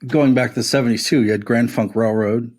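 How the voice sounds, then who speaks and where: A man's voice: medium pitch, steady tone, a little fast, darkish tone. Man, mid-range voice, podcast co-host, casual discussion, analytical, explanatory.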